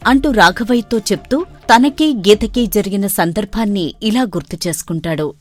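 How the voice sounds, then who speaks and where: A woman narrating a moral story in a steady storytelling manner. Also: mid-range voice, moderate pace, soft background music.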